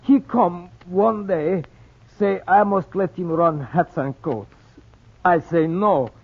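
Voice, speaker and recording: Excitable restaurant owner, broken English, husky high voice, lamenting, old radio drama. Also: male voice, slow pace, full character voice. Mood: resigned, wary. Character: distraught foreign-born Russian restaurant owner, excitable, fretful. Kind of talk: 1940s radio acting. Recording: muffled, worn 1940s radio recording.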